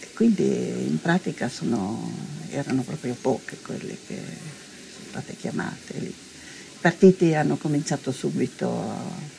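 An older woman shares recollections conversationally. Her voice is low and creaky, and she halts as she goes. A faint noise sits under the recording. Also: animated, mellow. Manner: halting, conversational. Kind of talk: spoken recollection. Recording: faintly noisy archival interview.

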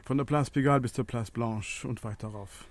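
An actor playing a gloomy, humble precinct inspector in a German radio play, grumbling with resigned modesty. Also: male voice, medium pitch, steady tone, fairly quick, close to an everyday voice. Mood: self-deprecating.

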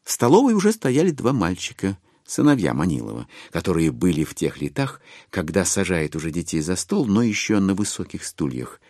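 An actor as the narrator of an audio drama, telling the story in a wry, deliberate literary manner. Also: male voice, sits low, wildly swooping pitch, darkish tone, no echo, clean studio sound, exaggerated voice. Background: music.

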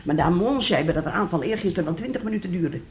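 A woman's voice: on the low side, lively intonation, fast talker, darkish tone, lightly acted. A middle-aged schemer of a wife played by a woman in a radio play. She is impatient and calculating, pressing each point with a needling edge. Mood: urgent, sharp. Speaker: actor. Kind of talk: radio drama acting.

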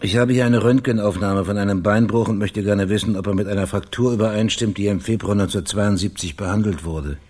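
An actor in a German radio crime drama playing a polite, persistent investigator with a matter-of-fact way of asking questions. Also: male voice, on the low side, steady tone, quick pace, few pauses, a little mellow, a little hiss or hum, lightly acted. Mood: polite, probing. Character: male investigator posing as biographer, polite, probing.

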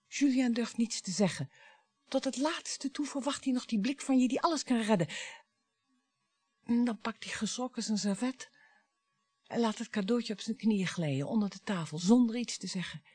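An actress playing a wounded, bitter wife and mother in a radio drama, theatrical and sarcastic, moving between hushed and emphatic speech. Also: on the high side, moderate pace, husky, no echo. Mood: tense, intense.

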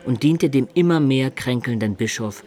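An actor as an earnest medieval scribe, medium-pitched. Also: male voice, normal speed, dark tone, natural voice. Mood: reflective. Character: medieval male pupil and scribe, earnest, curious, narrating. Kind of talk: radio play narration and dialogue.

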